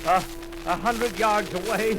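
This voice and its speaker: A steadfast, doomed radio announcer reports from a rooftop in a raised, strained voice, dragging out his words. Alarm bells clang loudly behind him in this 1930s radio drama. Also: high-pitched, loud music behind the voice, low-quality recording. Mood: panicked. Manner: halting, breathless eyewitness reporting. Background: warning bells ringing.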